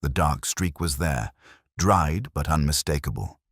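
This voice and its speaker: A man with a very deep voice narrates a detective story in the first person. His delivery is wry and hardboiled, with wide swings in pitch, and the recording is dry and close. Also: expressive, measured pace, fairly bright tone, breathy edge, no room sound. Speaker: audiobook narrator.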